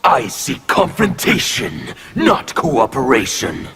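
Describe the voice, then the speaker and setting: Gravelly anarchist warrior, defiant and scornful, slow deliberate lines, very lively pitch, game voice over loud music. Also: male voice, heavily acted. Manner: contemptuous, threatening delivery. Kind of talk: video game intro dialogue.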